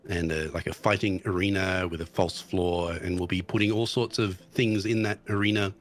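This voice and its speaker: A man's voice: sits low, fairly even intonation, moderate pace, faint music behind. A man guest streamer chatting casually about his game, hesitant with restarts, recovering from tonsillitis. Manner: hesitant, many restarts and ums.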